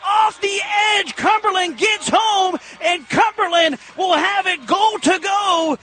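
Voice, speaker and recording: A man with an American accent calling live football play-by-play on radio in emphatic, punchy phrases that build toward shouted calls. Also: extremely high pitch, slow.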